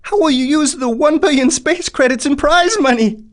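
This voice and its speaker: A man playing an excitable TV reporter in a video game, putting interview questions to race winners in a showy announcer manner. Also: very high-pitched, moderate pace. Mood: excited.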